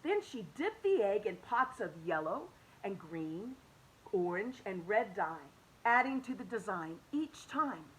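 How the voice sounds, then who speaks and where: Animated woman reading a picture book. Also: mid-range voice, a little slow, slight background noise, poor audio. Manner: expressive and animated, with soft hushed drops. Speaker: children's story reader.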